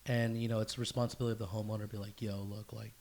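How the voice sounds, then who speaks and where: A man's voice: fairly low pitch, little pitch movement, very fast, gravelly, darkish tone. A young adult man hosting a casual podcast chat, telling stories with slang and swearing, repeating himself for emphasis. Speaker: podcast host.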